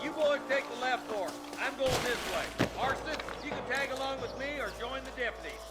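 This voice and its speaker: An actor playing a gruff older American lawman in a Western video game, barking orders at his posse in a folksy, commanding manner. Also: very high-pitched, fairly quick. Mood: calm, commanding. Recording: music and gunfire behind the voice.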